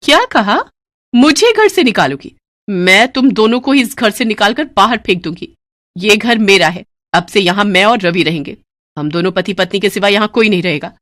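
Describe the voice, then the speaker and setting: A woman's voice: medium pitch, expressive, moderate pace, fairly bright tone, dry recording, studio quality, natural voice. A woman voicing a bossy, greedy young daughter-in-law who scolds and orders people around in a storybook drama. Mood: furious. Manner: haughty, scolding, commanding.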